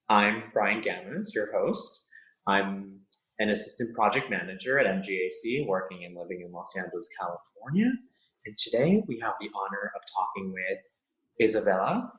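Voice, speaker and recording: A young American man hosts a podcast interview in a friendly way, asking questions between stretches of talk. His voice sits a bit low. The sound carries a noticeable room echo. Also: fairly bright tone. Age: young adult. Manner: friendly, with scripted intro. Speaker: podcast host. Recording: echoey room.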